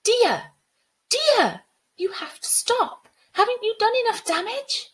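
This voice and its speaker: A woman's voice: extremely high pitch, big pitch swings, slow, a bit bright, pitched above the performer's own voice, full character voice. A woman voicing a desperate, angry girl who pleads urgently in a children's storybook. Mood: angry, shouting. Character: Sabrina, desperate angry human girl pleading with a sea spirit. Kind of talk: acted children's storybook reading.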